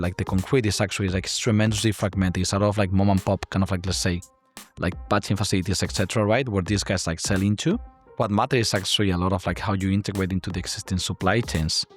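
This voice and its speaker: A man from Spain with a Spanish accent, in his thirties, explaining his career and industry as a podcast guest, peppering his talk with "like" and "right?". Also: on the low side. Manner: constant filler "like" and tag "right?". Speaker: guest, climate tech investor. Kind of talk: casual interview talk.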